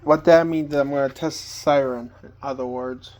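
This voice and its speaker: A man giving a casual, unscripted home video tour as a hobbyist, speaking plainly and haltingly with pauses mid-sentence. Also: mid-range voice, fairly even intonation, darkish tone, a little hiss or hum. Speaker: hobbyist vlogger showing a collection. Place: indoors at home, walking between rooms.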